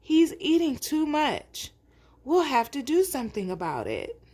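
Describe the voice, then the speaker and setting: A storybook father goat, voiced by a woman, with the pitch lifted. He is a firm, proud parent, concerned and given to questioning. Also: very animated, measured pace, darkish tone.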